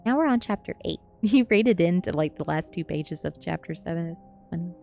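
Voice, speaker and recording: A woman's voice: lowish voice, expressive, fairly quick, gravelly, mellow. A woman streamer reading a novel aloud in a cozy ASMR storytelling style, with casual welcoming asides and the odd stumble over words. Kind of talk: ASMR storybook narration with casual chat to listeners. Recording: music behind the voice. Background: music.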